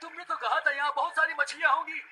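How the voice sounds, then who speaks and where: A man voicing a boastful, defensive, grumbling middle-aged father in a Hindi cartoon dub, with a husky voice. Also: extremely high pitch, flat delivery, fast, bright, forceful tone, a little hiss or hum, heavily acted. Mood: startled, excited.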